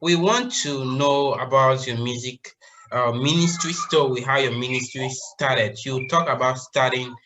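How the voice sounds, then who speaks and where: A man's voice: medium pitch, unhurried, noisy background. A man hosting an interview, effusive and repeating his exclamations of wonder. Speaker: interviewer, host. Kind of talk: interview questions.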